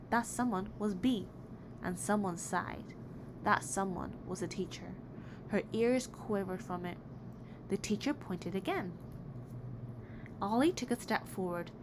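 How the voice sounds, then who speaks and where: A woman reading a children's picture book aloud in an expressive, deliberate storytelling way. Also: mid-range voice. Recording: clearly noisy background.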